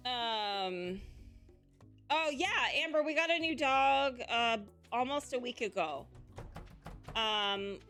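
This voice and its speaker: A woman from the Pacific Northwest chatting casually while streaming a game, unhurried and rambling with frequent ums. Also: fairly high pitch, lively intonation, fairly bright tone, soft background music. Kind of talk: casual chat while gaming.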